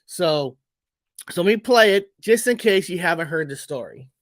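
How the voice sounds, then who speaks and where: A man hosting a show on his own, rambling and repeating words as he talks straight to viewers, his voice going hoarse as he gets sick. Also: high voice, lively intonation, moderate pace, a bit bright. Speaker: show host. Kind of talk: casual solo podcast monologue.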